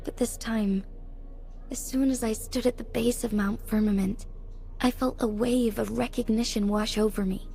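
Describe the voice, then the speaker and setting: Solemn young woman leader, mellow voice. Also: fairly high pitch, creaky. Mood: reflective. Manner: earnest, measured, formal. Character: solemn young female leader, resolute, devoted to her guardian. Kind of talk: scripted video game voice acting. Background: music behind the voice.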